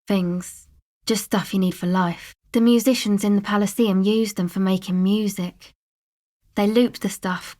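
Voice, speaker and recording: A woman's voice: mid-range voice, natural voice. Actress as an offhand, streetwise young woman. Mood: casual, guarded. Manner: casual, slangy, offhand and dry. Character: young streetwise woman, guarded, laid-back slacker amateur sound recordist. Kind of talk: video game character dialogue, conversational.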